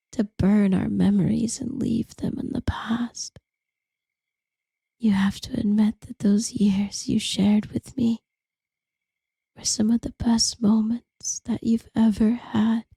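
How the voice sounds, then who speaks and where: A woman plays a seductive, possessive lamia in an intimate audio roleplay. Her voice is very soft, dark and breathy, often dropping into a whisper with a creaky edge. She lingers slowly over her words, condescending and intimate, as if to a former lover. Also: fairly high pitch, flat delivery, dry recording, exaggerated voice. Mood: seductive, wistful.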